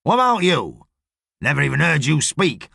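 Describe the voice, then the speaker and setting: A man acts out a shady middle-aged boss from an audiobook. He is gruff and blunt, barking irritably in a raised, animated voice with a very dry, close sound. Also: a little high, moderate pace, dry recording, heavily acted. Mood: suspicious. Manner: blunt, sweary, shouty. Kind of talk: audiobook character voice.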